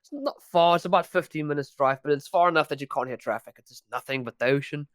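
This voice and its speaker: A man's voice: on the high side, quick pace, no echo, studio quality. A young man from South Africa with a South African accent, chatting as a podcast guest, talking freely with frequent restarts and laughs. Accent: South African English. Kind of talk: casual podcast chat.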